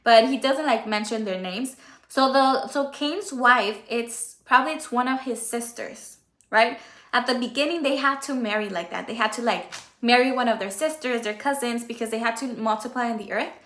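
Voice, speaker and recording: A woman's voice: on the high side, moderate pace, a bit bright. A woman whose first language is Spanish, hosting a casual Bible study livestream, chatty and correcting herself as she reads. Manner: chatty, self-correcting, stumbles over names. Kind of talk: casual Bible reading and commentary. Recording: echoey room.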